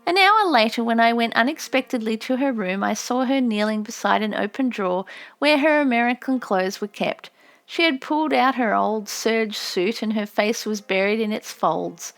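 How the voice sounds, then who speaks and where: High-voiced woman narrating an audiobook. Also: lively intonation, normal speed. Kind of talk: expressive scripted audiobook narration.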